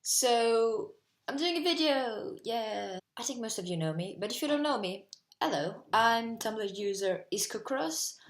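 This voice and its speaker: A woman's voice: fairly high pitch, lively intonation, a little slow, echoey room. A 19-year-old woman from Portugal with a Portuguese accent, chatting casually and rambling to camera from her bedroom, full of "like". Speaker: vlogger.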